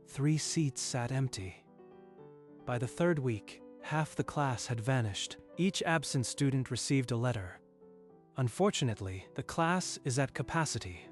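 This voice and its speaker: A man reading scripted story narration in a measured, literary storytelling manner. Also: mid-range voice, lively intonation, soft background music.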